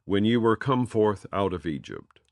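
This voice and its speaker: A man reading scripture aloud in a measured, solemn cadence, letting phrases fall at their ends. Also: on the low side, very animated, darkish tone, no room sound. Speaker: audio Bible reader.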